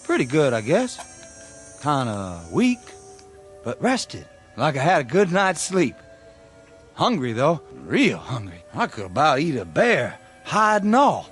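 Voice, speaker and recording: A man's voice: fairly high pitch, wildly swooping pitch, measured pace, a bit bright, faint music behind, full character voice. An actor playing a plain-spoken, indignant young country man in a radio drama, with a folksy rural American accent. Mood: easygoing. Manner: folksy, incredulous.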